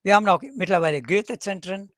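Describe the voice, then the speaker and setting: A man's voice: high voice, expressive, fast talker, fairly bright tone, no room sound. A man from South India with an Indian accent and Tamil as his first language, lecturing in a webinar with dry, wry asides. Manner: wry asides, switches between German and English. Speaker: professor speaking at a webinar. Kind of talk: academic talk.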